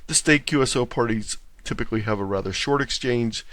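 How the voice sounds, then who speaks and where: A man's voice: mid-range voice, a little fast, fairly bright tone, no room sound. A man talking as an interview guest, explaining things in a thorough, orderly way. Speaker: interview guest, contest organizer.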